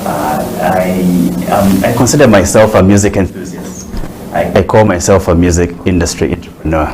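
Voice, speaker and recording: A man's voice: low-pitched, moderate pace, noisy background. A man in his forties with a Ugandan English accent, a technology executive talking easily about himself as an interview guest. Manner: relaxed, self-aware, with little restarts. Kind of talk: interview conversation.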